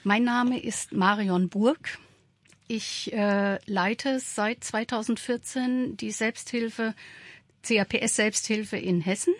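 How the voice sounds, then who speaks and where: A woman's voice: on the high side, steady tone, slow pace, no room sound. A woman in her sixties who leads a support group, explaining as a radio guest with frequent hesitations. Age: 60s. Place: radio studio.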